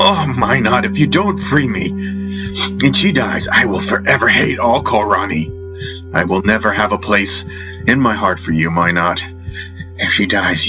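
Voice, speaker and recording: A man's voice: medium pitch, voice pushed up, close to an everyday voice, low-quality recording. A man voicing an anguished young warrior who pleads desperately, in an audiobook drama over music. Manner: wailing, impassioned pleading.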